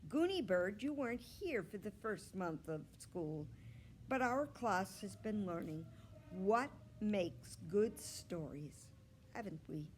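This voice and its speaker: Woman doing a patient lady teacher from a kids book, asks the class questions, slowish, slightly noisy recording. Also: medium pitch, animated. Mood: bright, explaining. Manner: instructive, questioning classroom address. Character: patient, pleasant female primary school teacher.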